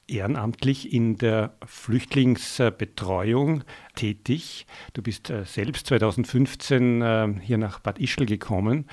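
A man's voice: mid-range voice, a little mellow. A man with an Austrian German accent hosting a community radio show, introducing topics and interviewing a guest in the studio, often hesitating with fillers as he asks questions. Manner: hesitant with many fillers when asking questions. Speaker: radio host.

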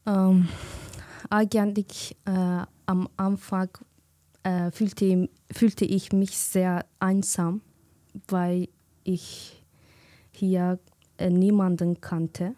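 A woman from Turkey, speaking slowly and hesitantly as a guest on a community radio show, pausing often to find her words. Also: lowish voice, level tone, mellow, no room sound, studio quality. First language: Kurdish. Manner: hesitant, searching for words with many fillers. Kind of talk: casual radio chat.

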